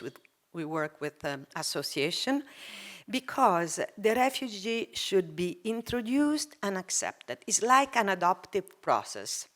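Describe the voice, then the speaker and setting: A woman speaks from a prepared text at a conference panel, with a low voice. Her delivery is measured and formal. Now and then her phrasing sounds non-native.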